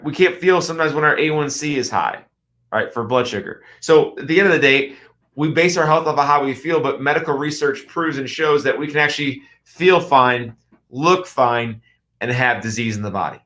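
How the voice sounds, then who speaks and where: Middle-aged Midwestern man, radio health host; earnest, emphatic delivery with rhetorical questions, mid-range pitch. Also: normal speed, sharp tone. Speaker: radio show host and chiropractor. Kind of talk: health talk radio monologue.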